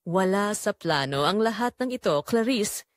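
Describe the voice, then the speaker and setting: A woman's voice: mid-range voice, lively intonation, normal speed, no echo, studio quality, natural voice. A woman with a Filipino accent voicing a remorseful, pleading husband in an audio drama. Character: guilt-ridden, pleading adulterous young husband.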